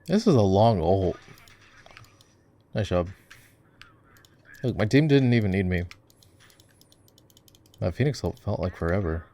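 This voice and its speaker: A man's voice: low voice, expressive, measured pace, pauses often, dark tone. A man chatting casually in an ASMR-style gaming roleplay, laid-back, encouraging and teasing as he coaches the listener. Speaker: voice actor playing a gamer boyfriend, coaching a game.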